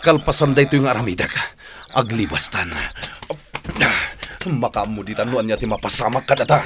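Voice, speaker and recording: An actor playing a protective, devoted older brother on the run, speaking emotionally in a radio drama. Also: male voice, medium pitch, lively intonation, moderate pace, lightly acted. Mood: urgent, encouraging. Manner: pleading, emotional. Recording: noisy radio drama recording with clicks.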